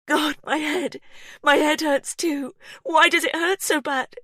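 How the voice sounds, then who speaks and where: A woman's voice: high voice, fairly even intonation, takes it slowly, pushed and bright, no room sound, studio quality, voice pushed up, heavily acted. A woman voicing a young woman in painful labour, confused and crying out. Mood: anguished. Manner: crying out, strained.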